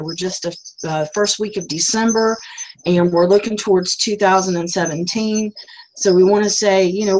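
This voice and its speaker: A woman's voice: medium pitch, low-quality recording. A woman hosting a live business stream, rambling casually with many fillers and losing her voice. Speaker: host.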